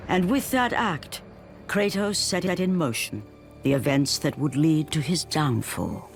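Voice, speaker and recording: An actor playing a grave, ominous narrator telling a video game's story. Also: male voice, a little high, a little slow, creaky, music in the background. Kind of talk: dramatic game narration.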